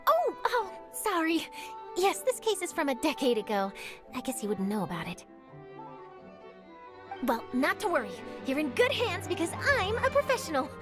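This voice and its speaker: A woman playing an eager young journalist chasing a scoop, brisk, upbeat and theatrical. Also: very high-pitched, wildly swooping pitch, heavily acted. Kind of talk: video game voice acting. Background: game score music.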